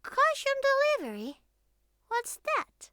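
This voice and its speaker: Casting: playful young catgirl for ASMR roleplay. Very high, unhurried, pouty, childlike and affectionate, close dry sound. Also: huge pitch swings, pushed and bright, dry recording, full character voice. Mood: puzzled. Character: young catgirl, former cat, clingy, playful, naive.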